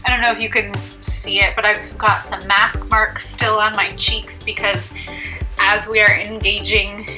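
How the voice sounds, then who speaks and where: A young woman chats to camera in a bright, sharp, forward voice, stuffy and congested from a cold. She rambles and pokes fun at herself as she talks through beauty products, with faint music under her. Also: mid-range voice, little pitch movement, unhurried. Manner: chatty, rambling, self-deprecating. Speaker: beauty vlogger. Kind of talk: casual chat to camera.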